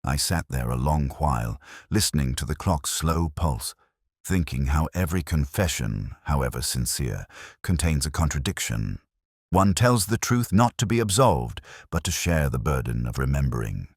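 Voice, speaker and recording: Very deep, brooding detective played by a man. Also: big pitch swings, breathy edge, no room sound, clean studio sound, full character voice. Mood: brooding, reflective. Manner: measured, reflective, solemn. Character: brooding older male English detective inspector, melancholy and wry. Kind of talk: literary first-person scripted narration, audio drama.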